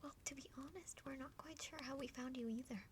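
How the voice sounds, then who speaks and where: A woman voicing a friendly, curious younger half-dragon sister in a breathy, chatty whispered ASMR roleplay. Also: high-pitched, brisk, close to an everyday voice. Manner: chatty, playful, hesitant stammers. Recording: faint background noise.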